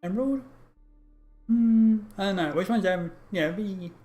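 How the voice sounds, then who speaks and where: A woman's voice: medium pitch, measured pace, mellow. A woman streamer giving casual live reactions while playing a game. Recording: game music behind the voice. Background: game music.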